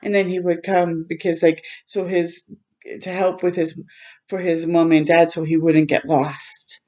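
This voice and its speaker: A woman reads a children's picture book aloud at a slow, unhurried pace. Her voice is soft-edged and mellow, sitting a little low. She speaks gently, stepping out of the text to explain things simply to young listeners. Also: flat delivery. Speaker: read-aloud storytime host for children.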